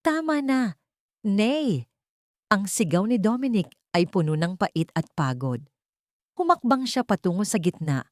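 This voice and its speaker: A woman with a low voice narrates dramatic fiction. Her delivery is deliberate and theatrical, with melody that swings widely to build suspense, in a very dry, close sound. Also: sing-song, no room sound. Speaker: narrator of an audio story. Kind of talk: dramatic scripted storytelling narration.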